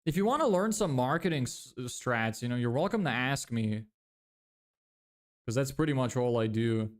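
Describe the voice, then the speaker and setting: Smooth-voiced young man livestreaming. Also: mid-range voice, lively intonation, moderate pace. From Lithuania. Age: 20s. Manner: thinks aloud, self-correcting, frequent fillers. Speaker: livestreamer building a business. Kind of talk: casual livestream chat reading viewer questions.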